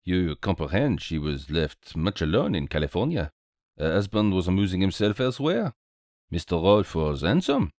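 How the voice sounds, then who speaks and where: A dapper little detective played by a man reading aloud in a storybook mystery. He speaks, courteous and theatrical, his pitch swinging freely. He sounds cheerful and very sure of himself, in a dry, close sound. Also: very animated, moderate pace, darkish tone, dry recording. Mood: knowing, animated. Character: small Belgian male detective, cheerful, courteous, self-assured.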